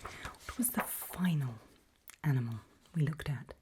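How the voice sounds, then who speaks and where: A woman playing a calm, reassuring doctor in an ASMR roleplay, speaking in a gentle breathy whisper. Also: low voice, unhurried. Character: calm reassuring female doctor running a creepy memory test.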